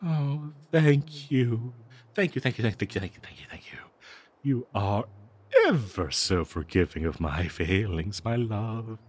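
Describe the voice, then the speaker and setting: A man voicing a bloodthirsty, doting cursed dagger in an audio roleplay, with theatrical, halting, gushing talk full of endearments. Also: lowish voice, slightly rough. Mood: awed, remorseful, ecstatic. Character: obsessive cursed talking dagger, bloodthirsty, doting, giddy, fawning.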